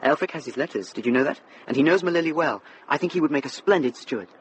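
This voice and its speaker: A young actor playing an earnest, well-bred youth, grateful and eager, in a radio drama. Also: male voice, a little high, lively intonation, fast, slight background noise, lightly acted, poor audio. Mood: shy eagerness. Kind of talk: British radio drama acting.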